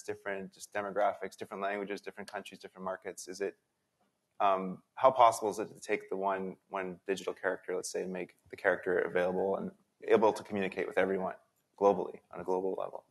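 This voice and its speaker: A man moderating a conference panel, casual and quick with his questions. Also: low voice, flat delivery. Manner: casual, asks questions in a rush of lists.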